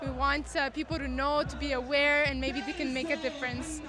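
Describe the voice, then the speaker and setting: A woman's voice: high voice, steady tone, brisk, music in the background. A woman organizing a street protest, talking earnestly to a reporter in an interview.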